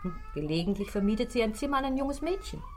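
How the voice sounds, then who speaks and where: Geschwätzige Concierge, sehr lebhafte Satzmelodie. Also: female voice, fairly high pitch, wildly swooping pitch, fast, a little mellow, soft background music. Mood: guarded, gossipy. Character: gossipy, evasive, money-minded Parisian concierge woman. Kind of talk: German radio play acting.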